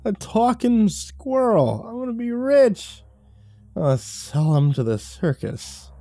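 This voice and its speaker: A slurring, greedy drunk, as a male narrator puts him on, drawling his words slowly in a wobbly, lifted pitch. It is recorded close and dry over faint music, in an audiobook. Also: sing-song, mellow, dry recording, exaggerated voice. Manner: slurred and staggering. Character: drunken hiccuping greedy man.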